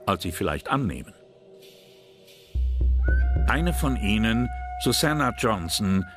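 Deep-voiced male documentary narrator. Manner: calm, measured, serious. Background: music.

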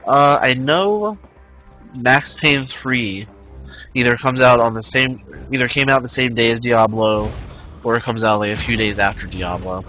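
A man's voice: mid-range voice, unhurried, pushed and bright, dull audio, faint music behind. A man in his twenties casually streaming a game, rambling and reading the chat aloud. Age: 20s. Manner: rambling, reads chat aloud, many fillers. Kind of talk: casual live-stream chat while gaming.

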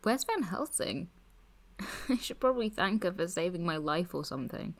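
A woman's voice: fairly low pitch, animated, moderate pace. An actress playing a wry, teasing young woman who is ailing, in an audio drama, at times murmuring weakly. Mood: playful. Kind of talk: naturalistic audio drama acting.

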